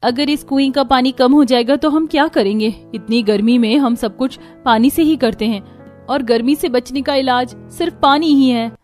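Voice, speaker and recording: Actress as young village wife, high voice, earnest, fretful, dramatic cartoon dialogue, music behind. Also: female voice, normal speed, darkish tone, lightly acted. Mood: worried. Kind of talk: Hindi cartoon story dialogue.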